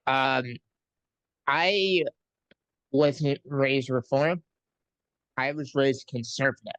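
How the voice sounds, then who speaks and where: Slow, halting male interviewer. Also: medium pitch, a bit bright, clear, resonant tone, no room sound. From New York City. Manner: slow, halting, frequent ums and pauses. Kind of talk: casual conversational interview.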